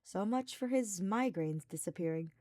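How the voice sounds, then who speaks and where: A woman's voice: medium pitch, lively intonation, moderate pace, a little mellow, no room sound, studio quality. A woman reading a fantasy story as scripted audiobook narration. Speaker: podfic reader.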